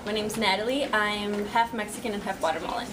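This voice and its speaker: A woman's voice: mid-range voice, steady tone, brisk, crowd noise. A young woman interviewee talking casually about her experiences. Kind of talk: casual testimony. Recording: distant mic with noise.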